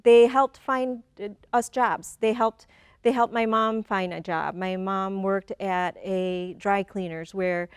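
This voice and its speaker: A woman's voice: medium pitch, fairly even intonation, slow, smooth, no room sound, clean studio sound. A woman in her forties who grew up in Michigan, a guest in a conversational interview, speaking thoughtfully, often repeating words as she gathers her thoughts and laughing easily. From Michigan, USA. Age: 40s.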